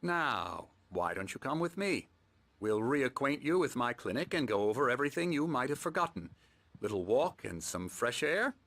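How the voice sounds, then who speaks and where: A man playing a middle-aged psychiatrist in a video game, calm and measured, patronizing as he soothes patients at his clinic. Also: mid-range voice. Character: calm, smooth, condescending male psychiatrist running a clinic. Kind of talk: video game cutscene acting. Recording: music playing behind the voice.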